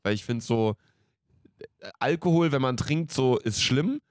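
A man's voice: medium pitch, animated, unhurried, no room sound, clean studio sound. A man in his late twenties co-hosting a podcast in casual, slangy, jokey banter. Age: late 20s. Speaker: podcast co-host.

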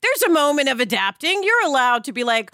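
Female podcast co-host, high bright voice, expressive and emphatic, casual conversational style. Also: brisk, no room sound, clean studio sound. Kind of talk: animated casual chat.

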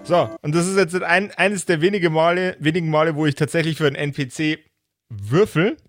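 A man narrating an improvised tabletop role-play as game master, with deliberate, theatrical description. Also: a little high, no echo, studio quality. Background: faint music.

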